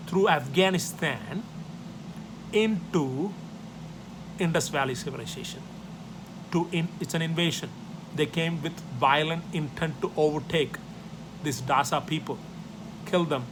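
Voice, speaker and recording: A man with an Indian accent, Tamil his mother tongue, teaching a history lesson in loose, repetitive phrasing. His voice sits somewhat high for a man. A steady hum of background noise runs under the recording. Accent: Indian English. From South India. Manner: loose, repetitive phrasing, numbered points, many tag questions. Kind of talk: classroom history lecture.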